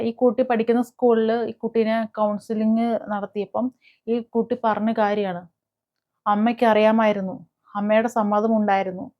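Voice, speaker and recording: Lady doing opinionated video commentary, highish voice, stops and starts with little hums, kinda muffled lo-fi audio. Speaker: host of a gossip and commentary video channel. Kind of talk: casual opinionated news commentary to camera.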